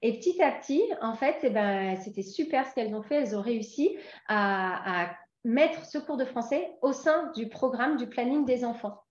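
A woman's voice: on the high side, animated, moderate pace, dull audio. Hesitant French woman in an echoey room. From France. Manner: hesitant, many fillers and restarts. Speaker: interview guest. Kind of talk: casual interview conversation.

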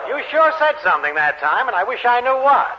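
A man playing a breezy, wry, brisk newspaper reporter in a 1940s radio sitcom. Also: extremely high pitch, sing-song. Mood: wry, puzzled. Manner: brisk, wisecracking. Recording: muffled, noisy 1940s radio recording.

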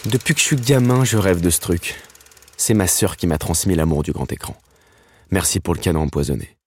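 A man. He narrates a scripted first-person story. His delivery is wry and slangy, broken by dramatic pauses. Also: low voice, husky. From Paris, France. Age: 30s. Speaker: narrator telling his own life story.

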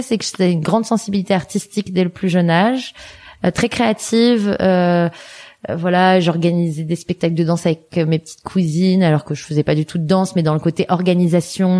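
A woman in her thirties from the Paris region, speaking as an interview guest in a relaxed, reflective way, pausing often to find her words. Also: on the low side. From Paris region, France. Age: 30s. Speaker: guest, entrepreneur. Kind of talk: casual conversational interview.

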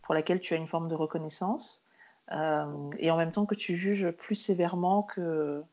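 A woman's voice: fairly low pitch, fairly even intonation, moderate pace, a little mellow. Woman, podcast host, curious, engaged, framing long questions. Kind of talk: podcast interview.

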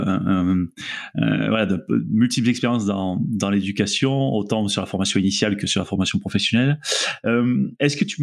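A man hosting an interview podcast, introducing his guest and asking questions with many fillers and restarts. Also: mid-range voice. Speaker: podcast host.

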